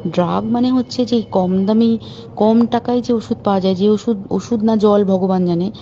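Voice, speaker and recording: Woman caller, indignant and urgent, mellow voice, muffled phone recording with faint hiss. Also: mid-range voice. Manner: indignant, urgent, talking to friends informally. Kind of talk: private phone call, recounting events.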